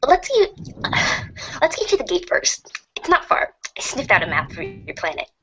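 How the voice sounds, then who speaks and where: A woman's voice: sits high, huge pitch swings, moderate pace, a bit bright, exaggerated voice. A woman voicing a bossy, needling blind troll girl in an amateur reader's theater. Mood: smug, bossy. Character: teasing, bossy blind troll girl, a leet-speaking online schemer. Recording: muffled lo-fi, noisy home recording.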